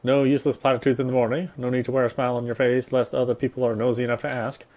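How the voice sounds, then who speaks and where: A man's voice: mid-range voice, flat delivery, racing, darkish tone. A man reading visual novel narration aloud during a playthrough, sometimes stumbling and rereading a phrase. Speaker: gamer reading story text in a playthrough.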